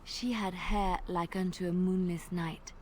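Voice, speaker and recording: A woman telling an old legend in character during a tabletop roleplay, measured and dramatic, at times dropping to a hush. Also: lowish voice, fairly even intonation, slight background noise.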